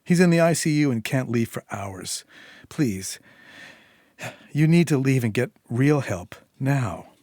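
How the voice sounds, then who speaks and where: A man's voice: medium pitch, expressive, unhurried, darkish tone, no room sound, clean studio sound. A man voicing an anxious woman at home in a story, earnest and protesting, pleading yet firm.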